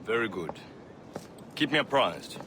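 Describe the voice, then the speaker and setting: An actor playing a measured, authoritative assassin mentor in a video game, speaking formally. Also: male voice, lowish voice, lively intonation. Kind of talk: dramatic video game acting. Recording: clear outdoor background noise.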